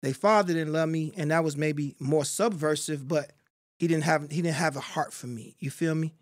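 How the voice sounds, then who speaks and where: A middle-aged man speaks as a guest on a studio podcast, laying out his thoughts in a measured, philosophical way. His voice sits a bit high for a man. The sound is close and dry. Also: fairly even intonation, no room sound. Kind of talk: reflective interview talk. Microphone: studio mic on boom.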